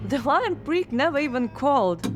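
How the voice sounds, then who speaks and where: A woman's voice: high voice, big pitch swings, moderate pace, faint music behind. A woman playing a sardonic frazzled writer. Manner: sardonic, dry, skeptical. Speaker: scriptwriter. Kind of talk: radio drama acting.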